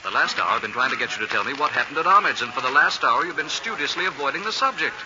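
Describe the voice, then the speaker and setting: A man playing an urbane, unflappable gentleman detective in 1940s radio drama, crisp, formal and self-assured. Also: mid-range voice, animated, fast, close to an everyday voice. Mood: brisk, wry. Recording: muffled, noisy 1940s radio recording.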